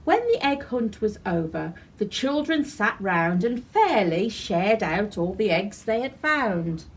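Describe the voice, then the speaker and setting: A woman reading a rhyming children's picture book aloud as a storyteller, in an animated, deliberate way. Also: mid-range voice, a little hiss or hum, low-quality recording. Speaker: library storyteller.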